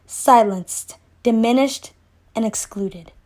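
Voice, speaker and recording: Woman giving a solemn announcement, highish voice. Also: takes it slowly, darkish tone.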